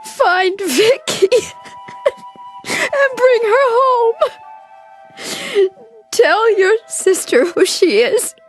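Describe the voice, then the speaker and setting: An actress playing a grieving, stunned daughter in an audio drama, emotional and halting. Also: female voice, very high-pitched, animated, exaggerated voice. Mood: mournful. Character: grieving grown daughter stunned by her mother's secret. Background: sentimental theme music.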